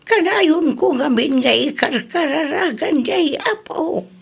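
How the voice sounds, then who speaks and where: A woman acting as a gentle old village grandmother in an Ilocano radio drama. Her voice is high and creaky, with very slow delivery and an Ilocano accent. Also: animated, heavily acted.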